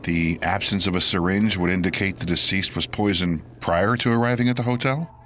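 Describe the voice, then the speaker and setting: An actor playing a deferential uniformed police officer in an audio drama, formal and tentative. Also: male voice, low voice, fairly quick, a bit bright, slight background noise.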